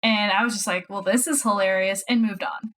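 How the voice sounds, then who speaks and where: A woman's voice: mid-range voice, fairly even intonation, a little fast, fairly bright tone, slight background noise. A woman from the American South chatting casually as a podcast co-host. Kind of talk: casual chat.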